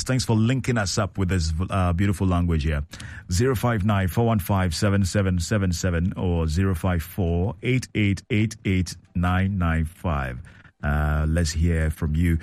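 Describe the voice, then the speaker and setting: A man hosting a call-in radio show, repeating callers' words back in a relaxed way. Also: deep voice, moderate pace, no echo. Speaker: radio host.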